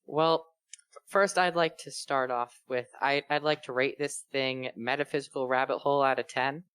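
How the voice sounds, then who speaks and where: A man chatting casually in a fan fiction reading group, making deadpan jokes. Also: medium pitch, moderate pace, smooth, dry recording. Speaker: fan fiction podcast reader. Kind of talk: casual joking discussion.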